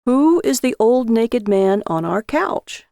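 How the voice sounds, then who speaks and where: A woman's voice: a little high, big pitch swings, slow pace, vocal fry, dark tone, no echo, clean studio sound, full character voice. A woman voicing a childish, gullible, easily wounded husband in an audio story. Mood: calm disbelief.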